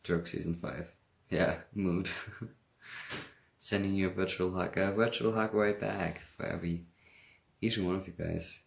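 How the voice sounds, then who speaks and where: A man's voice: deep voice, steady tone, a little slow, vocal fry, long pauses. A young man from Hamburg with a German accent, chatting casually on a livestream, reading viewer comments and laughing often. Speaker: livestream host.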